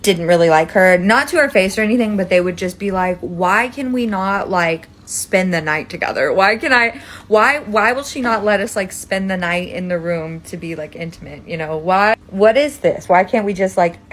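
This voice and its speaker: A woman in her twenties with an American accent, telling a personal story casually and emphatically. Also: mid-range voice. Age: 20s. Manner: dramatic, emphatic, peppered with "like" and swearing. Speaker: storytime video creator.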